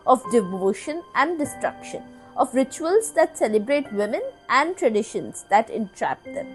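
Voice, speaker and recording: A woman with a fairly high voice and very lively intonation delivers a scripted video essay. Her phrasing is literary and measured, with deliberate dramatic pauses. Music plays under the voice-over. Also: low-quality recording. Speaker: video essay narrator, podcast host.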